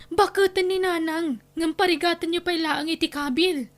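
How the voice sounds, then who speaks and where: A woman's voice: very high-pitched, steady tone, normal speed. A woman playing a long-suffering, anxious wife and mother with an Ilocano accent in a radio-style family drama, pleading and plaintive. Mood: worried, pleading.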